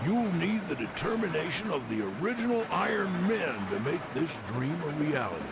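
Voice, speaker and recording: An actor playing a crusty, teasing old American railroad man, narrating game cutscenes in a folksy, theatrical way with a gravelly voice. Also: on the high side, sing-song, moderate pace, heavy background noise. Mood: urging. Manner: folksy, scolding, colorful old-time slang.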